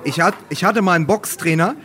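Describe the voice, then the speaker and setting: A man with a noticeably high voice chats casually about football as a podcast co-host. His delivery is wry and halting, circling back over words before he lands the point. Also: crowd noise. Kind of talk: casual football talk, bantering.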